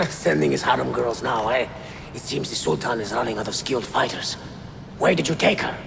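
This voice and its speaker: A mocking soldier from a video game. His voice is heavily creaky and mid-pitched, sneering through reverb and clear background noise. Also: a little fast. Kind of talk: video game cutscene acting. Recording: echoing, noisy background.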